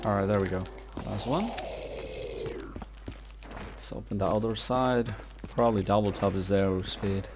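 A man's voice: fairly low pitch, moderate pace, long pauses, noisy background. A man giving casual gameplay commentary, muttering to himself as he plays. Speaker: gaming video creator. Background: video game music and sounds.

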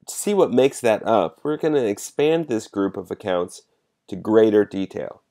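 A man giving a measured, explanatory step-by-step narration as a software demonstrator. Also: on the low side, lively intonation.

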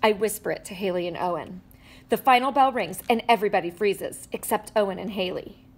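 A woman reading a children's picture book aloud with animated storytelling and playful sound effects. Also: mid-range voice, a little fast, slightly rough, low-quality recording. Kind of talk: expressive read-aloud. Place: indoors at a table with a book.

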